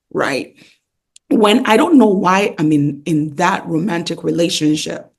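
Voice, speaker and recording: Guest pastor and coach: woman, husky with a creaky edge, emphatic, conversational teacher who leans on repetition. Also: deep voice, a little mellow. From Ghana. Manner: emphatic, repeats key phrases, rhetorical questions.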